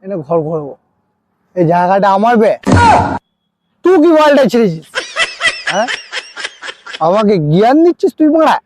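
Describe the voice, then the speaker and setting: A man's voice: high-pitched, very animated, moderate pace, long pauses, background noise. A man playing a foul-mouthed, boastful drunkard in a comedy skit, belligerent and throwing out challenging questions. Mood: angry, boastful.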